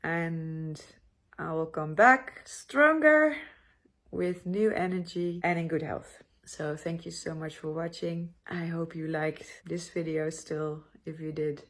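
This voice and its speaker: A woman's voice: sits low, slow, a bit bright. Breathless woman vlogger. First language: Dutch. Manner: tired, hesitant, frequent restarts. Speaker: travel vlogger. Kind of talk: casual talk to camera.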